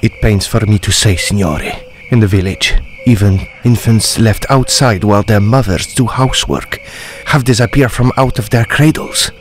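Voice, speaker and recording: A man's voice: on the low side, normal speed, hardly any pauses, a little hiss or hum, close to an everyday voice. A man playing a grave, deferential Italian castle servant who talks ominously in a period audio drama. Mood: uneasy, foreboding.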